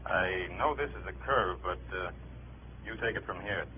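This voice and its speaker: Husky government man on phone. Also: low voice, normal speed. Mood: terse, apologetic. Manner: terse, matter-of-fact. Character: discreet, businesslike male FBI contact on the phone. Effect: telephone filter. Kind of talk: 1950s radio drama acting. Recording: faint, tinny telephone voice, old radio recording.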